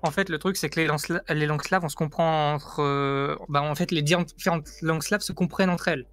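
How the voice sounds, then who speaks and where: A man's voice: fairly high pitch, steady tone, brisk, a bit bright. A man from France explaining his view in a casual debate, insistent and emphatic, often repeating himself. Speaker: guest giving an opinion.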